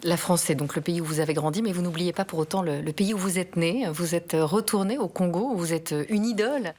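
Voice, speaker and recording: A woman conducting an interview, asking polite, formal, measured questions. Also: on the low side, darkish tone.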